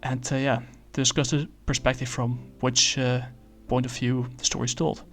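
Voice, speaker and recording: A man casually reviewing a comic book, talking in a halting way with many fillers and restarts. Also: mid-range voice, flat delivery, soft background music.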